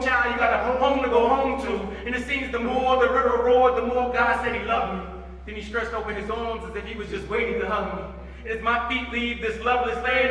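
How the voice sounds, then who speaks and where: A man recites dramatic poetry in a very high, bright voice. His delivery is impassioned and rhythmic, though the pitch stays fairly level. He sounds distant from the microphone, in a big reverberant concert hall. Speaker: spoken word poet performing at a concert. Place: large reverberant indoor performance space. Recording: echoey concert hall, far from mic.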